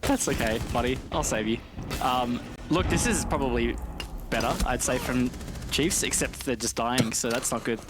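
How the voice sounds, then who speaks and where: A man's voice: mid-range voice, normal speed. A man commentating a live esports match in a conversational, analytical way with casual banter. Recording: game gunfire noise behind voice. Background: video game gunfire.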